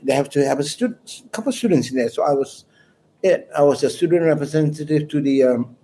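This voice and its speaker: Mellow-voiced man telling stories. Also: fairly high pitch, expressive, brisk. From Malaysia. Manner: rambling, anecdotal storyteller with chuckles. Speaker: guest musician. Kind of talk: casual interview chat.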